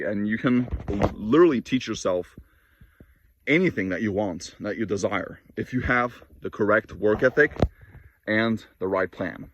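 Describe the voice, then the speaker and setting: A man whose native languages are Norwegian and Polish, giving a blunt, preachy motivational monologue to camera with frequent restarts. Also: fairly low pitch. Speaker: fitness and self-improvement vlogger. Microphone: camera mic.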